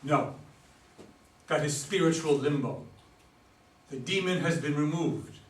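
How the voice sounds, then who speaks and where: A solemn young man who believes he is God's son played by a man in a stage play. He speaks in earnest, preachy declarations with a high voice, picked up at a distance in a roomy space. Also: a little slow, lightly acted. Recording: echoey room, distant microphone.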